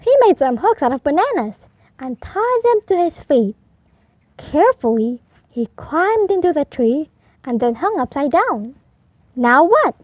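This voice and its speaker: Female children's storybook narrator: high pitch, slow and expressive delivery, slightly dull sound. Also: dry recording. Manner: expressive, deliberate. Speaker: storybook reader. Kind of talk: read-aloud narration for young children.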